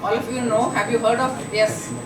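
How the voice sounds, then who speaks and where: Loud woman lecturing in an echoey hall. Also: a little high, steady tone, normal speed. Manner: chatty, engaging, asks the audience questions. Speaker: invited guest speaker. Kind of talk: lecture to college students. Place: college hall. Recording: echoey hall, far from mic, noisy.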